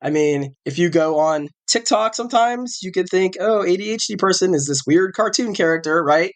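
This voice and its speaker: A man's voice: high-pitched, animated, normal speed. Slightly sick male host, forties, rambling, self-correcting delivery. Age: 40s. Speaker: podcast host. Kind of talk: casual podcast chat.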